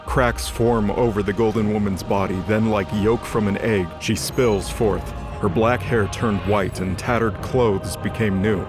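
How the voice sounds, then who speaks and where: A low-voiced man narrates a scripted fantasy drama. His dramatic storytelling sits over loud background music. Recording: music under the voice.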